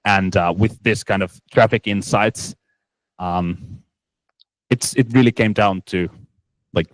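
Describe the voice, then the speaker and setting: Low-voiced guy answering q&a at a tech event, lots of uh and restarts, dry close sound. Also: no echo, rough recording. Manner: halting, many restarts and fillers. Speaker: startup presenter. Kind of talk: conference Q&A answer.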